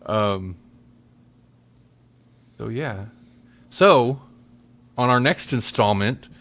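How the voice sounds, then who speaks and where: A man hosting a casual podcast chat, often halting and thinking aloud as he talks. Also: mid-range voice, lively intonation, a bit bright. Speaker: podcast host.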